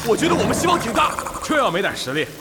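Very high-pitched, excitable young male gamer. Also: very fast, heavily acted. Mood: excited, admiring. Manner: exclamatory, gushing. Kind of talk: Chinese audio drama acting. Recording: long reverb, loud music underneath.

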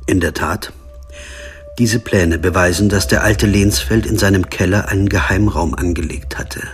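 An actor playing a sober, insistent lawyer who investigates and narrates in a German radio crime drama. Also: male voice, deep voice, normal speed, soft background music, close to an everyday voice. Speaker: narrator and lead character.

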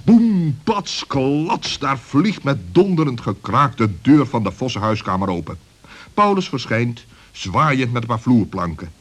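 A man narrating a scripted children's story as storyteller, in a vivid, expressive and dramatic way. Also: mid-range voice, measured pace, husky.

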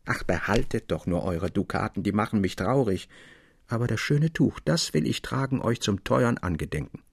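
Man acting a soft, gentle tavern girl. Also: on the low side, animated, normal speed, gravelly, slightly rough, no room sound, exaggerated voice. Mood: tender sadness. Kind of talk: audiobook character acting.

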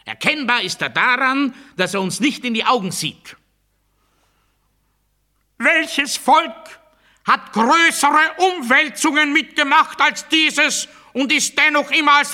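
Ein Schauspieler, der in einem Hörspielmonolog einen fanatischen militaristischen Redner spielt, pompös und nachdrücklich deklamierend. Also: male voice, high-pitched, exaggerated voice. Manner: pompous, emphatic, swinging from hushed to strident. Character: fanatical militaristic male orator declaiming rigid dogma. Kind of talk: German radio play monologue, oratorical declamation.

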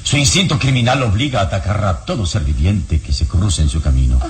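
An actor playing a calm heroic adventurer in an old radio drama, speaking with authority and deliberate emphasis. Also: male voice, low-pitched, a little hiss or hum. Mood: explaining. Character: calm heroic male adventurer, commanding and wise.

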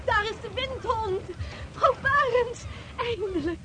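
A woman playing an eager young woman watching for a ship at the harbor, talking excitedly. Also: very high-pitched, slow pace. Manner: excited, pointing things out. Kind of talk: radio drama acting. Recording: noisy background.

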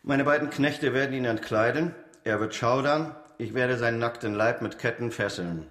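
A man playing a proud, matter-of-fact executioner in a radio play, speaking in a measured, formal way that savours grisly detail. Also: medium pitch, fairly bright tone, natural voice. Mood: coldly menacing. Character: proud hereditary executioner of old Paris, smugly matter-of-fact. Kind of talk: German radio play acting. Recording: echoey stone-room radio drama sound.

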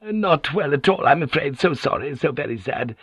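A man's voice: a little high, lively intonation, moderate pace, a bit bright, husky, no room sound, clean studio sound, voice pushed up, exaggerated voice. A man voicing a sly, aggrieved woman in an audiobook, falsely courteous, plaintive and insinuating. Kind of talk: audiobook character dialogue.

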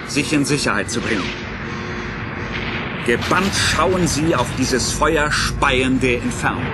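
A man narrating a radio play in a scripted, suspenseful style with theatrical emphasis. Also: medium pitch.

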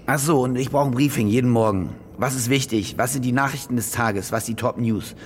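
A man's voice: mid-range voice, a little fast, a little hiss or hum, close to an everyday voice. A man playing a cynical, demanding star war reporter in a naturalistic radio drama, brusque and self-important. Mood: demanding, bossy.

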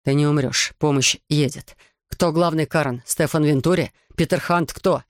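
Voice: mid-range voice, steady tone, normal speed, dry recording, studio quality, lightly acted. A narrator voicing a hard, pressing male agent in a thriller audiobook. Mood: urgent.

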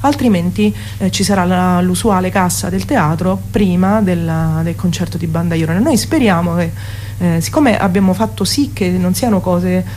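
A woman's voice: on the low side, moderate pace, a little mellow, slight background noise. A woman speaking as a musician guest in a casual radio interview, hesitant and often correcting herself.

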